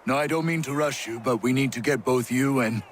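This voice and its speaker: An actor playing a blunt but kindly colonial country doctor in a video game, wry and plain-spoken. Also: male voice, medium pitch, brisk, a little hiss or hum, lightly acted. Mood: wry, relieved.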